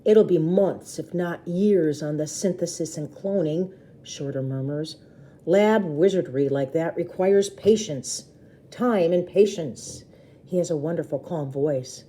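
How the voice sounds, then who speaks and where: A woman's voice: low voice, measured pace, mellow. A woman storyteller reading a children's book aloud expressively, with brief explanatory asides to listeners.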